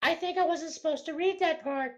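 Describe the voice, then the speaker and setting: A woman's voice: very high voice, a little fast, dull audio, background noise. A woman co-host reading gamer forum posts aloud for comedy, casual and prone to giggling.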